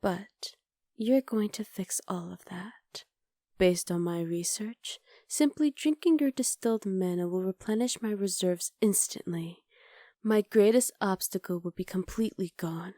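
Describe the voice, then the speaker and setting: A woman playing a haughty, menacing witch conqueror in an ASMR roleplay, speaking in soft, measured, formal sentences with a hushed, breathy delivery. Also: mid-range voice, no room sound, clean studio sound, natural voice. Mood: calculating, proud. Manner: imperious, measured, formal diction.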